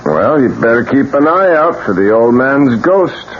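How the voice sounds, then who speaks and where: A bitter, death-courting painter, played by a male actor in a 1970s radio mystery. His delivery is theatrical and mocking, with very lively swings of pitch. The sound is dull and faintly noisy. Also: normal speed, lightly acted. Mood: morbid, bitter. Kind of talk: 1970s radio drama acting. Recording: muffled, noisy 1970s radio drama recording.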